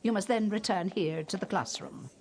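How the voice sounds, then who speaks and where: An actress playing a brisk, formal professor of magic in a video game, crisp and authoritative. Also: female voice, medium pitch, a little mellow, slight background noise, natural voice. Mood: instructing.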